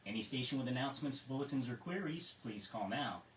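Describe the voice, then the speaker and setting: A man's voice: medium pitch, expressive, fairly quick, a bit bright. American man running radio net, roomy sound. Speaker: amateur radio net control operator and host. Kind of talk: reading a net script and chatting with check-ins. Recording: roomy, distant mic with faint noise.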